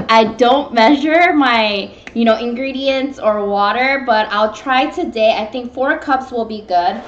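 A young woman with a high voice talks at an unhurried, slow pace, hosting a casual live cooking stream. She wanders informally through her chat, and the sound is echoey, as from a webcam across a table at home. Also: slight background noise. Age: young adult. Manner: informal, meandering, greeting viewers. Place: small home room, indoors at a table. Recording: echoey, dull webcam stream, background music.

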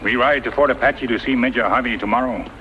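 A man's voice: mid-range voice, fast, natural voice. A man playing a stoic, loyal scout in a 1950s radio western, talking in terse, clipped broken English. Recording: dull 1950s radio recording, faint noise.

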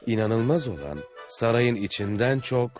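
A man's voice: medium pitch, lively intonation, moderate pace, lightly acted. A man playing an earnest, adventurous traveller who formally narrates his journeys in a dubbed cartoon. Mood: earnest storytelling. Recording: music behind the voice. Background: music.

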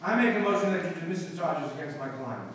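A man playing a brash, cynical shyster lawyer with quick wisecracking patter in a live stage recreation of a 1930s radio comedy. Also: fairly high pitch, lively intonation, a bit bright, a little hiss or hum. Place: community hall stage with live audience. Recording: echoey hall from far off.

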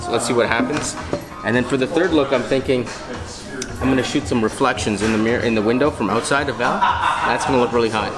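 A chatty man in his thirties talks at an easy middle pitch while he directs a photo shoot. He coaxes his model along with quick, cheerful praise. Music plays loudly behind him. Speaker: photographer and vlog host. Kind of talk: casual behind-the-scenes vlog, directing a model. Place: small coffee shop indoors.

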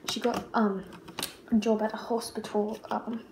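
A woman's voice: on the high side, flat delivery, unhurried. Rambling teenage girl in a noisy, echoey room. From Australia. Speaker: vlogger. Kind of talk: casual question-and-answer chat to camera. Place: small bare-walled room at home. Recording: roomy, loud background noise, clicks.

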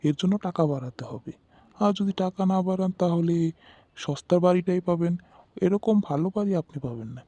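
A man's voice: sits high, lively intonation, fairly quick, mellow, no echo. A man voicing an insistent, haggling house broker in a horror cartoon. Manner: insistent, repetitive bargaining.

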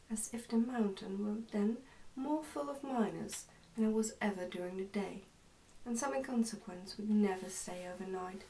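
Soft, mellow young woman reading ASMR. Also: mid-range voice, distant mic, slight background noise, poor audio. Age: young adult. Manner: soft, hushed, careful read-aloud delivery. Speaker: ASMR narrator reading a book aloud. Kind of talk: ASMR storybook reading, soft-spoken and whispery. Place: indoors, small plain room.

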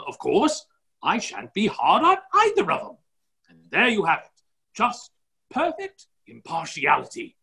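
A man's voice: fairly high pitch, sharp tone, full character voice. Man playing a muddled, swooping, genial duke. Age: middle-aged. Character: muddle-headed, genial, blustering English duke. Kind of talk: staged play reading over video call. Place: indoor office, video call. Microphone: computer microphone on a video call.

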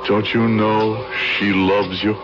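A measured, deep-voiced professor. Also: male voice, level tone, noisy background. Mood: controlled, accusing. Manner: measured, deliberate, formal. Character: probing, controlled older male professor and hypnotist. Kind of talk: 1970s radio drama acting. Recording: dull vintage radio recording. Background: music.

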